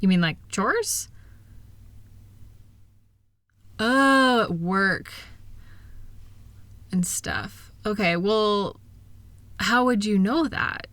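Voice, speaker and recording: A kind, reassuring wife played by a woman speaks to her partner in an intimate audio roleplay. Her voice is clearly bright and sits at an average pitch for a woman. She is gentle, earnest and encouraging, in a very dry recording without room sound. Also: extremely slow, long pauses, no echo. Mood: gently firm. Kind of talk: intimate scripted roleplay audio, one-sided conversation.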